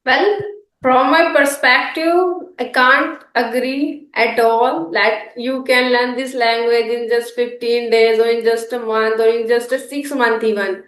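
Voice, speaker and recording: A woman's voice: a little high, fairly even intonation, unhurried, fairly bright tone. A woman from India with an Indian English accent, speaking in an English practice conversation, hesitant with many fillers and restarts. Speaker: English learner guest. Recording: echoey room.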